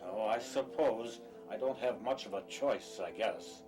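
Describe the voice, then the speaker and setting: A man's voice: lowish voice, unhurried, a little mellow, natural voice. A man playing a nervous, hesitant fugitive patient in an audio drama, plain-spoken and uneasy. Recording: music behind the voice.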